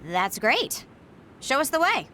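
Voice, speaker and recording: A woman's voice: sits high, big pitch swings, slow pace, sharp tone, a little hiss or hum, heavily acted. A woman playing a lazy but caring senior soldier in an anime game, casual and nonchalant, with music behind her. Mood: concerned. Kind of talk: English anime game voice acting.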